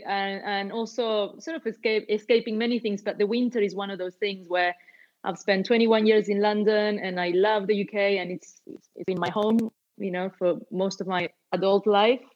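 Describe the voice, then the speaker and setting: A woman's voice: medium pitch, highs cut off. A woman in her forties, originally from Argentina, chatting casually as an interview guest with lots of fillers and restarts. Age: 40s. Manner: warm, rambling with many fillers and restarts. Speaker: guest musician and label owner.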